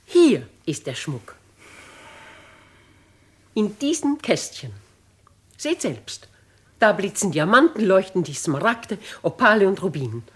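A woman's voice: mid-range voice, sing-song, takes it slowly, long pauses, husky, exaggerated voice. A woman playing a dignified, bold older noblewoman writer in a 1950s German radio drama, with theatrical, declamatory phrasing. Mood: challenging.

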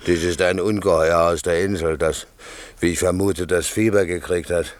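A man's voice: sits low, fairly even intonation, moderate pace, dry recording, lightly acted. A man playing a drunken, blustering shipwrecked servant in a classic radio play, boozy, drawling and bossy. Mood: puzzled, boastful.

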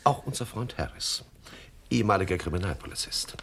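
An actor playing a suave, jovial gang leader in a radio crime comedy, genteel and wordy, with chuckles and mock politeness. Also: male voice, low voice, expressive, brisk, vocal fry, a bit bright, full character voice. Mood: amused, mock-courteous. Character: jovial, suave male crime boss running a front agency. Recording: faint noise on 1970s radio production.

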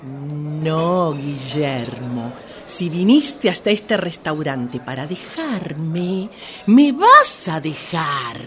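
A woman's voice: low voice, sing-song, drawn-out words, fairly bright tone, heavily acted. A woman playing a bossy, menacing jilted girlfriend in a radio comedy, with a Rioplatense accent and a commanding, sarcastic manner. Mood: exasperated. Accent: Rioplatense Argentine. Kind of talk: radio drama comedy acting.

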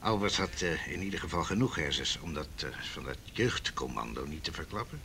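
An actor playing a sardonic, grumbling police officer with a dry manner, in a naturalistic Dutch radio drama. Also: male voice, very deep voice, expressive, fairly quick, bright, forceful tone, slight background noise, exaggerated voice. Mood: sardonic, weary.

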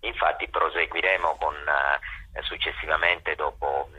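Very deep, husky man on phone. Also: fairly even intonation, measured pace, sharp tone. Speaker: theatre actor and playwright being interviewed. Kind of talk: interview answers. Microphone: telephone. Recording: muffled, narrow telephone line.

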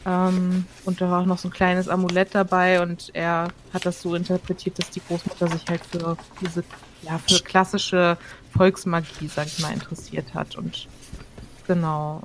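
A woman's voice: lowish voice, moderate pace, background noise. A woman taking part in a tabletop game recording, talking casually about the character she plays, with many fillers. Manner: relaxed, chatty, many fillers. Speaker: player introducing her character. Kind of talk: casual chat.

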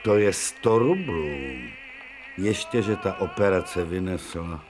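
An actor playing an irritable, commanding elderly surgeon-professor in a radio play. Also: male voice, sits low, music in the background, lightly acted. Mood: weary. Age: older. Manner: authoritative, commanding, sardonic.

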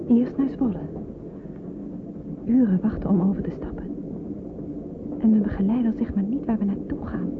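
Through a tinny, noisy old radio recording comes a young woman played by an actress, anxious and wary. She speaks in a hushed, deliberate radio-drama manner over a train-like rumble, as someone afraid of where she is being taken. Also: lightly acted. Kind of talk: radio play acting with hushed inner monologue.

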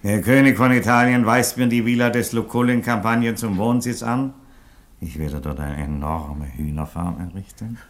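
An actor playing an ironic, dignified Roman emperor in a German radio play, speaking in a measured, theatrical way. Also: male voice, fairly low pitch, natural voice. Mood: formal, commanding. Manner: measured, theatrical, lingering on phrases. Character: ironic, dignified Roman emperor resigning his empire, male.

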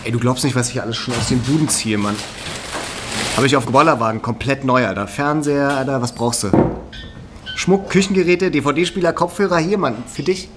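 A man's voice: medium pitch, fairly quick, background noise, lightly acted. An actor playing a cocky, pushy young man with slangy, chummy talk in a naturalistic radio drama. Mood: pushy, excited. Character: cocky, slangy young hustler pushing loot on his friend.

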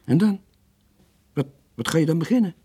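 An actor playing a weary, lonely sailor in his late forties, gentle but evasive, with a husky voice and a subdued, hesitant manner in a radio drama. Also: fairly high pitch, expressive, normal speed. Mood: concerned. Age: late 40s.

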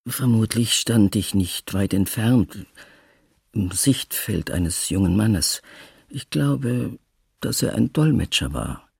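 A woman playing an elderly survivor recalling her childhood ordeal, husky and hesitant, in a documentary radio drama. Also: very deep voice, lively intonation, slow, warm tone, no echo, studio quality, exaggerated voice. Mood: quiet, reflective. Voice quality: husky, gravelly.

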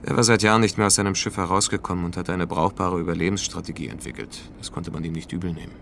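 An actor playing a world-weary space traveller, narrating a radio drama in a dry, reflective, wry way. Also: male voice, deep voice, steady tone, fast, creaky, a bit bright, a little hiss or hum. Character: world-weary male space traveller looking back on his journey.

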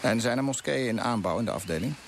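An actor playing an eager, courteous colonial official in a radio drama, speaking formally and inquisitively. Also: male voice, mid-range voice, expressive, quick pace, gravelly. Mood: eager, probing. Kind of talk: Dutch radio drama acting. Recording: noisy old radio play recording.

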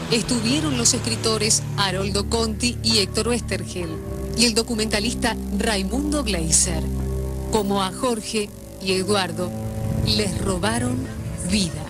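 A woman's voice: medium pitch, measured pace, loud music behind the voice. A woman reading scripted documentary narration.

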